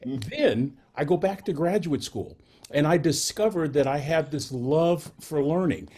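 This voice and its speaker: A man's voice: a little high, expressive, measured pace, a little mellow. A man in his sixties, a journalist on a panel, folksy and self-deprecating. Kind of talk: panel discussion.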